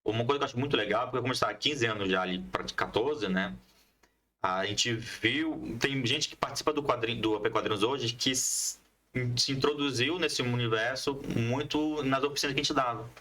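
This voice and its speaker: A man's voice: medium pitch, a little fast, sharp tone. A man talking casually as an interview guest, explaining things with enthusiasm. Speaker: podcast guest, comics collective member and teacher.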